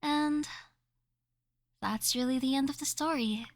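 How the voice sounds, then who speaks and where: Girl acting as chill young woman in asmr roleplay, bit high, super dry sound, pauses a lot. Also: no room sound. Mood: casual, reflective.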